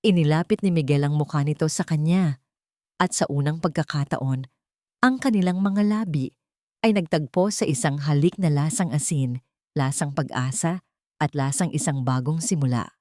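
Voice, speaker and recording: Female storyteller, lower register, dry close sound, scripted dramatic romance narration. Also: a little mellow, no echo.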